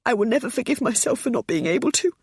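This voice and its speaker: A woman's voice: medium pitch, moderate pace, slightly rough, no echo, studio quality, voice pushed up, lightly acted. A woman voicing a desperate older mother who sobs and pleads as her voice breaks. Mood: anguished. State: sobbing.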